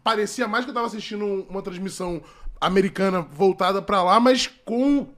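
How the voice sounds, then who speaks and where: A man's voice: sits high, a little fast, fairly bright tone. A man speaking enthusiastically in casual slang during a podcast chat.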